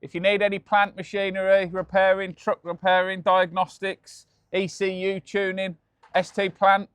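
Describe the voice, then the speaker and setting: Host, Birmingham accent, slightly raised pitch, relaxed running commentary while working. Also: male voice, normal speed, clear, resonant tone, no room sound. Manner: chatty, laughing, explaining while working. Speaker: channel host. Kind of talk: casual workshop chat. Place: truck workshop.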